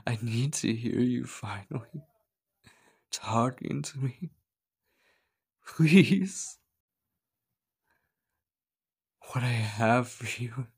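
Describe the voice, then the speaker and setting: A man's voice: medium pitch, gravelly, slightly rough, full character voice. A man playing a possessive, obsessive stalker in an ASMR roleplay, menacing and coaxing, often dropping to a whisper. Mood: desperate, frantic. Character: possessive, obsessive male stalker hunting his beloved.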